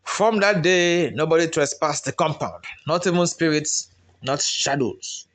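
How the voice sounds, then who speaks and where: Slow-paced Nigerian male storyteller, slightly high voice. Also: expressive, fairly bright tone. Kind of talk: dramatic folktale narration.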